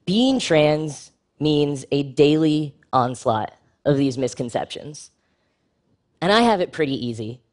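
A man giving a prepared public talk with a wry, frank, humorous delivery and lively jumps in pitch for emphasis. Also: slow pace.

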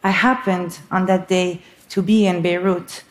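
A woman in her thirties from Lebanon, a filmmaker giving an earnest, reflective talk on stage with soft pauses. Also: fairly low pitch, steady tone.

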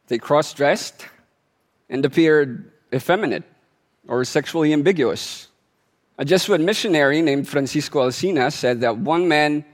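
A man from the Philippines giving a prepared talk to an audience, telling it like a story and slowing down to stress key words. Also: fairly high pitch. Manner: measured storytelling delivery, slowing for emphasis. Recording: long hall reverb.

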